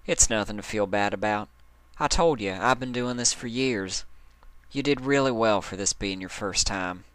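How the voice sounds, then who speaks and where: A man's voice: fairly low pitch, moderate pace, a bit bright, smooth, no echo, close to an everyday voice. A man voicing an easygoing, modest female country pony from a cartoon, with folksy manners. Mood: easygoing, encouraging.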